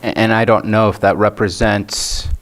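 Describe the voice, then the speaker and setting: Low, even-toned man in a meeting. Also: moderate pace. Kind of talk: meeting discussion.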